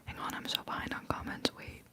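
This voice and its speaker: A woman's voice: brisk, sharp tone. A Dutch woman with an American-sounding accent in English, whispering breathily in a casual, chatty, playful way as she hosts an ASMR livestream and answers chat. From Netherlands. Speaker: livestream host, ASMR creator.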